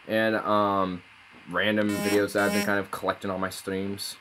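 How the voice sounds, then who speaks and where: A man's voice: lowish voice, little pitch movement, moderate pace, background noise. A man streaming casually, rambling and swearing as he reads aloud. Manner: rambling, laid-back, swears often. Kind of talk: casual live-stream chat. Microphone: phone.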